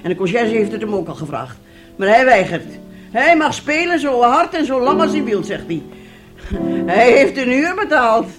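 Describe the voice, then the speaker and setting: A woman's voice: on the high side, sing-song, normal speed, music in the background. An actress in a radio drama playing a bitter, sarcastic middle-aged wife and mother who talks reproachfully and theatrically. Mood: bitter, exasperated. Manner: reproachful, sarcastic, dramatic, swinging between hushed and loud. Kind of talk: radio drama acting in Dutch.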